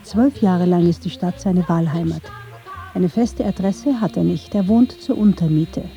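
A woman's voice: on the low side, unhurried, dark tone, faint music behind. A woman reading scripted narration for a radio documentary.

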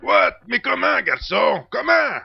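An actor playing a quirky, theatrical male sidekick in a science-fiction audio drama, with a raspy, gravelly voice. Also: high voice, wildly swooping pitch, slow pace, dry recording, exaggerated voice. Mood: astonished. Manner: theatrical, keeps addressing his listener as "boy". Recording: dull, slightly lo-fi sound.

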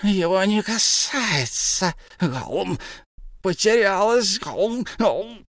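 A whining, hissing cave creature. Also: male voice, high-pitched, animated, slow, fairly bright tone, dry recording, studio quality, voice pushed up, exaggerated voice. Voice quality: hissing, whiny. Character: wretched hissing cave creature, male, greedy and suspicious.

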